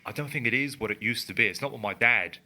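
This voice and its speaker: A man's voice: medium pitch, fast, bright, forceful tone. A man co-hosting a casual podcast, talking earnestly and emphatically as he thinks aloud. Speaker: podcast co-host. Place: studio in a building. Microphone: studio microphone.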